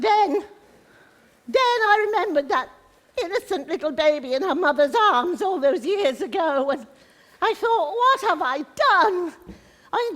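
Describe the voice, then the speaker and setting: A woman's voice: extremely high pitch, fairly bright tone, full character voice. A woman playing a gloating, bitter wicked witch in live pantomime, theatrical and taunting in a reverberant theatre. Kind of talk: live pantomime stage acting. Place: indoor theatre stage. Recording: echoey theatre hall, long reverb.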